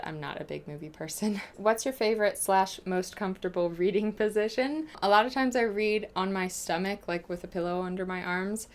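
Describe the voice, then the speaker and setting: Animated young American woman chatting casually. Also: lowish voice. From United States. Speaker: book vlogger. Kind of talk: casual chat answering viewer questions.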